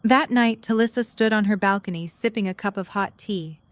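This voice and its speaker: A woman's voice: medium pitch, normal speed, no room sound. A woman narrating a scripted story as the narrator of an audio drama.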